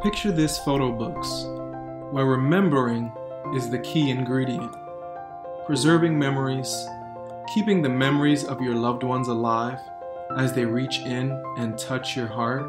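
A man's voice: medium pitch, a little slow. A man reading a sentimental scripted advertisement as an announcer. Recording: roomy voice under loud music. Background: loud instrumental music.

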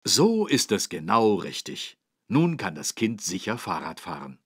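A man with a low, husky voice narrates for young children. His delivery is lively and encouraging, carefully phrased, and recorded in a dry studio. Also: unhurried, no echo. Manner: clearly phrased, encouraging, animated. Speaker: narrator of a children's learning game.

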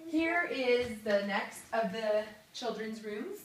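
A woman's voice: a little high, animated, takes it slowly, roomy sound, distant mic, slight background noise. A woman in her thirties giving a chatty, jokey tour of an empty new house, filmed on a phone. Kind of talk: casual home tour video. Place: empty house with bare rooms, indoors. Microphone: phone camera held by another person.

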